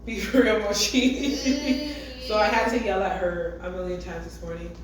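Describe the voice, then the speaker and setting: A young woman with a raspy voice, co-hosting a podcast in casual chat and laughing often. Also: medium pitch, steady tone, normal speed. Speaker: podcast co-host. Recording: echoey room, distant mic, background noise.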